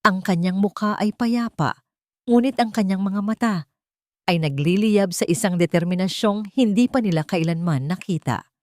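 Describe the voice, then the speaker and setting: A woman's voice: mid-range voice, moderate pace, darkish tone, dry recording, studio quality. A woman narrating a dramatic love story from a script, as a storyteller.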